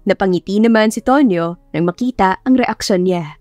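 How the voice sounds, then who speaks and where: A woman's voice: medium pitch, normal speed, darkish tone, dry recording. A woman reading scripted story narration as an audiobook narrator. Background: music.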